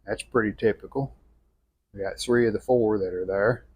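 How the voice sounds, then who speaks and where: A man giving an unscripted hands-on restoration walkthrough in plainspoken, halting short phrases. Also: on the low side, fairly even intonation, darkish tone, slightly rough. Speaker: radio restorer presenting own channel.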